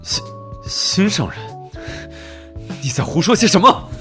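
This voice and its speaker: A male actor voicing a haughty, menacing tycoon with a stern, contemptuous manner in an animated drama. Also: sits high, measured pace, music in the background. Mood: outraged. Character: haughty, cold, menacing male chaebol gentleman.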